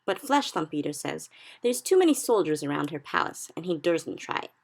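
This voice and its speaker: A woman voicing a big, friendly male giant in a storybook reading, who speaks slowly and mangles his grammar. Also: sits low, big pitch swings, vocal fry, heavily acted. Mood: sly. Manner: slow, odd jumbled grammar.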